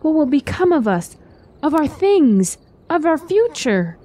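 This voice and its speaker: An earnest fantasy queen voiced by a woman in a radio drama, anxious and devoted to her people. Her voice sits a little high but stays soft and mellow, with a worried, pleading way of speaking. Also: very animated, unhurried.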